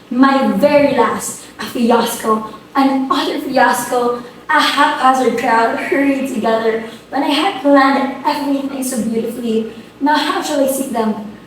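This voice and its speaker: Woman acting a high-voiced, flustered society hostess, very echoey. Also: lightly acted. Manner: theatrical, exclamatory, indignant. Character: flustered, imperious society hostess and mother, melodramatically exasperated. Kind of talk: amateur dramatic reading of a comic stage play. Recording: very echoey room, distant mic, faint noise.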